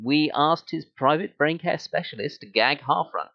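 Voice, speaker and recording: A man's voice: fairly high pitch, a little slow, creaky, dull audio. A man playing a brash, upbeat galactic radio newsreader in an amateur audio drama, with punchy announcer patter. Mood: upbeat, showy. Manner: showy, punchy announcer delivery.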